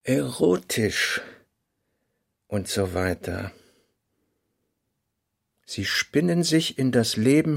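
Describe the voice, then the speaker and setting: An actor playing a brooding male novelist who reads out his own work notes in clipped, measured phrases for a radio play. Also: mid-range voice, gravelly, no echo, studio quality, full character voice. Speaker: actor reading a writer's notebooks. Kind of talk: radio drama reading of diary notes.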